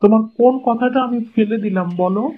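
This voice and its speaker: A man's voice: high voice, steady tone, fast. A man voicing a doting, easygoing village husband in a cartoon, affectionate and teasing. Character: doting, easygoing village husband, indulgent toward his wife. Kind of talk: cartoon moral-story voice acting. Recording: dull lo-fi sound with clear noise.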